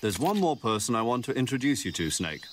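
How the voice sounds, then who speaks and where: An actor playing an authoritative older British military commander, giving a measured briefing in a video game. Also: male voice, mid-range voice, sing-song, lightly acted. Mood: knowing. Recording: game audio with loud insect noise.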